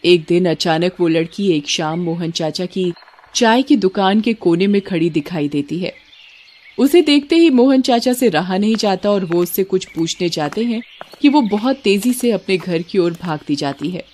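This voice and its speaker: A woman's voice: lowish voice, lively intonation, moderate pace, a little mellow. A woman narrator telling a scripted children's story.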